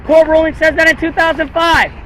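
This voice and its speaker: A man's voice: very high voice, little pitch movement, a little fast, fairly bright tone. An American man protesting on the street, declaiming repeated slogans and chatting with passersby outdoors. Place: outdoors by a busy road. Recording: noisy outdoor street, traffic behind.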